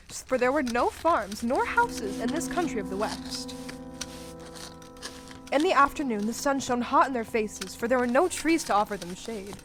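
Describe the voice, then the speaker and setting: Female narrator, higher register, scripted storybook telling for an audio drama, music clearly behind. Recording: music under the voice.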